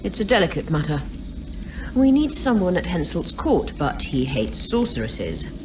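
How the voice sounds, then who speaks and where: An actress playing a cool, scheming sorceress in a fantasy video game, measured and dryly sardonic. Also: female voice, very animated, background noise. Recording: distant voice under loud rumbling ambience.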